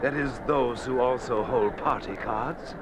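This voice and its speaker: An actor playing a sardonic, gloating communist commissar with silky mock courtesy and precise formal diction, in a 1950s radio drama. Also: male voice, medium pitch, measured pace, natural voice. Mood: gloating, sinister. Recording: noisy old radio recording.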